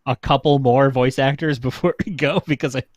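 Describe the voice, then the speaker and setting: A man's voice: medium pitch, fairly even intonation, brisk. A young adult man chatting casually on a livestream, an enthusiastic fan who peppers his talk with "like". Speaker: show panelist.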